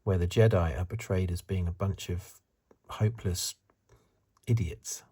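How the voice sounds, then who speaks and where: A man giving soft-spoken, half-whispered ASMR commentary in a husky, breathy voice, musing and rambling as he goes. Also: low-pitched, fairly even intonation, measured pace. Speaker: ASMR reviewer.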